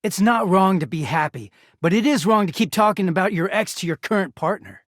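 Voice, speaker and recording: A bitter, sardonic young guy in a video game, a living pile of dirty laundry. His delivery swings widely between muttering and exasperation, and the sound is dry and a little husky. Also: sits high, lively intonation, fairly quick, dry recording. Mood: aggrieved, bitter. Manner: sardonic, foul-mouthed, swinging from muttering to shouting.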